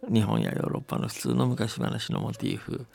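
A man's voice: on the low side, huge pitch swings, a little fast, vocal fry, darkish tone, soft background music. A man telling and explaining folktales conversationally, often checking that the listener agrees. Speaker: storyteller and folktale commentator.

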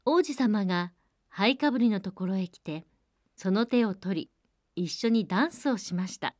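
A woman telling a fairy tale as a radio storyteller, speaking in a measured and polite way. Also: medium pitch, animated, a little mellow, dry recording, studio quality. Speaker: storyteller and programme co-host.

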